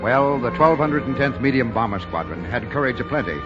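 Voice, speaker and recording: An actor playing a solemn, patriotic wartime announcer in 1940s radio narration. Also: male voice, mid-range voice, expressive, brisk, natural voice. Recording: muffled 1940s radio broadcast with music.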